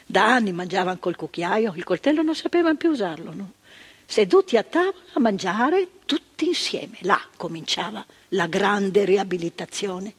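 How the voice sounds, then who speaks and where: A frank, earnest old psychiatric nurse with a lilt, as an older actress plays her on stage. Her voice is husky and breathy, and her pitch swings up and down as she tells her story. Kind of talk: stage monologue. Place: theatre stage.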